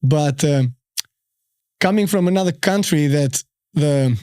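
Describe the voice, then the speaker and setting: A man in his thirties from Albania with an Albanian accent, a podcast guest telling his story in a halting, easygoing way with many fillers. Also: a little high, darkish tone, clean tone, dry recording, studio quality. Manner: unhurried, halting, frequent fillers. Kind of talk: casual storytelling interview.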